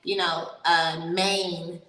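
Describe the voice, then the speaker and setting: A woman's voice: on the low side, little pitch movement, drawn-out words, a bit bright. A young woman from Miami, a professional dancer, telling her story as an interview guest in a frank, chatty way. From Miami, Florida. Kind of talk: casual interview chat. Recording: echoey room, mic at a distance.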